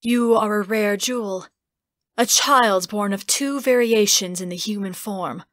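A woman voicing an ancient, coolly amused being in a fantasy audiobook, measured and condescending. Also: mid-range voice, a bit bright, no echo, clean studio sound, natural voice. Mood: cool condescension. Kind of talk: fantasy audiobook character acting.

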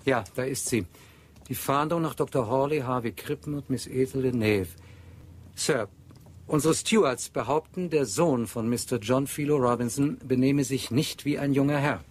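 An actor playing a formal, deferential and earnest ship's officer in a German radio drama. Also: male voice, medium pitch, normal speed, slight background noise, natural voice. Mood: earnest, discreet.

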